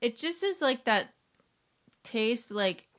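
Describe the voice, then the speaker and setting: A woman's voice: on the high side, big pitch swings, slow, a bit bright. An American woman co-hosting a podcast, chatting in a casual, informal and wry way. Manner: informal, wry, full of filler "like". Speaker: podcast co-host. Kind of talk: casual chat.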